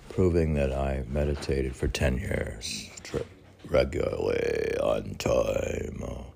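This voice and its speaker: A man's voice: very deep voice, creaky, darkish tone, slight background noise. A man with a New York accent reading his own poems aloud, rambling between them and answering himself with many fillers. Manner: meandering, talks back to himself with many fillers. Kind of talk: rambling spoken commentary and poetry reading.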